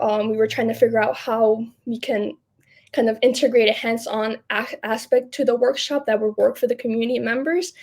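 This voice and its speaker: Young woman, high voice with creak. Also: a little mellow. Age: 20s. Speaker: podcast guest, public health student researcher. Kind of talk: conversational explanation.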